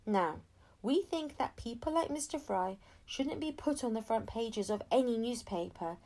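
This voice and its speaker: A woman's voice: a little high, lively intonation, moderate pace, a little mellow. A woman voicing a loving, decisive mother talking to her young child in a storybook. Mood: earnest, encouraging.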